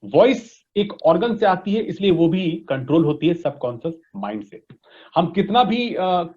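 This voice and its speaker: A man's voice: sits high, very animated, normal speed, darkish tone. A man with an Indian accent explaining as a coach in an interview, mixing Hindi and English and often checking that the listener follows. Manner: didactic, often checking with "right?" and "okay?". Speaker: voice modulation coach being interviewed. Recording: dull, lo-fi sound.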